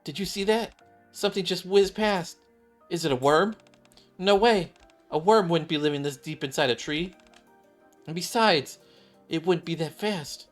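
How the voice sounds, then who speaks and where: A streamer voicing an excitable young female survivalist from a video game, with gushing exclamations. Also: mid-range voice, moderate pace, pauses often, faint music behind, pitched above the performer's own voice, close to an everyday voice. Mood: curious. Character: excitable young woman survivalist, squeamish about bugs. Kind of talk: acted reading of video game dialogue.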